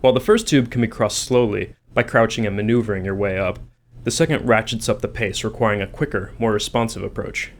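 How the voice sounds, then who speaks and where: A man's voice: fairly low pitch, fairly quick, slight background noise. A man who works as a game developer, reading scripted commentary on design.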